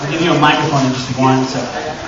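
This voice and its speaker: A man's voice: a little high, steady tone, fast talker. Echoey, distant man presenting a convention panel. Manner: chatty, joking, addresses the crowd directly. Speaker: panel presenter and audio drama creator. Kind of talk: informal convention panel talk to an audience. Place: indoor convention panel room. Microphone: distant camera microphone. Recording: very echoey room, distant, muffled and noisy.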